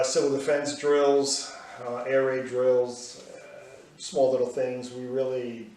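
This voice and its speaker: A man in his sixties from the United States, answering interview questions plainly with many pauses. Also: medium pitch, darkish tone, slight background noise. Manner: plain, hesitant, many fillers. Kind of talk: recalled personal history in an interview. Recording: echoey room, far from the mic.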